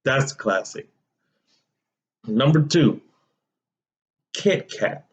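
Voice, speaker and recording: A young man hosting his own podcast in a casual, relaxed, conversational way. He talks straight to his listeners. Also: medium pitch, drawn-out words, lo-fi sound, a little hiss or hum. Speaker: podcast host. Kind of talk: casual solo podcast talk.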